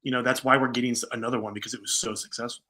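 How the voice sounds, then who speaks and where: A man's voice: mid-range voice, little pitch movement, rapid-fire, pushed and bright. A man in his thirties co-hosting a casual movie discussion, talking emphatically like an opinionated fan. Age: 30s. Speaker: podcast co-host.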